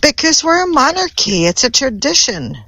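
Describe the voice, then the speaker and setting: A Swedish woman with a Swedish accent argues in English, her second language, pressing the same point again and again. Her voice sits fairly high. The sound is dry and close. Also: big pitch swings, moderate pace, pushed and bright, no room sound. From Sweden. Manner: insistent, repeats her point. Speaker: debate panelist. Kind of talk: heated panel debate.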